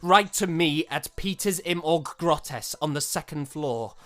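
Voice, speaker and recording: An actor playing a proud, passionate young eighteenth-century gentleman in an audio drama, speaking formally and theatrically. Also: mid-range voice, a little slow, natural voice. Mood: earnest, resolute. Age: young adult. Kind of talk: period audio drama acting.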